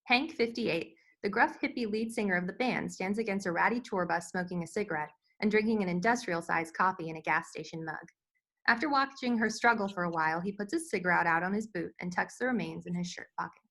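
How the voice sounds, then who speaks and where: Woman reading screenplay stage directions, mid-pitched. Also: brisk, fairly bright tone. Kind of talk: scripted screenplay narration.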